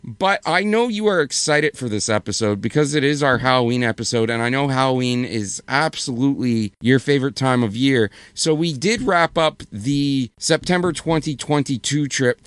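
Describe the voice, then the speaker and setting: A man with a hoarse voice co-hosting a casual podcast, rambling and correcting himself as he goes. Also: medium pitch, fairly bright tone, no echo. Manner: rambling, self-correcting, apologetic. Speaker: podcast co-host.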